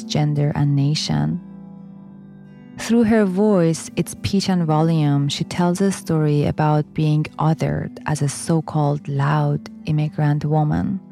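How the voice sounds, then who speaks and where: Low, mellow woman narrating calmly. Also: vocal fry. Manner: measured, reflective, posing questions to listeners. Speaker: documentary narrator. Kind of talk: scripted academic narration.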